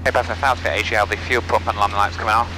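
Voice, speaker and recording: Young guy pilot on a headset, talks fast, engine noise behind him. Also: on the low side, steady tone. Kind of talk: cockpit talk and radio calls. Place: small propeller plane cockpit. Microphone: aviation headset boom mic. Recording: headset audio with engine drone.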